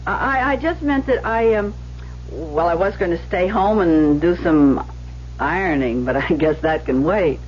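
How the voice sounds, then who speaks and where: An actress playing a shy, lonely young receptionist in an old radio drama, polite and hesitant, on a muffled recording with hum. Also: female voice, fairly low pitch, a little slow, darkish tone, close to an everyday voice. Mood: flustered, hopeful.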